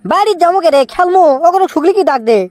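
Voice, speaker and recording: A woman's voice: very high-pitched, expressive, very fast, darkish tone, dry recording. A woman voicing a brash, boastful young village footballer in a comic cartoon, with a rural northern Bangladeshi accent. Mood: bossy, scheming. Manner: brash, boastful, scolding banter.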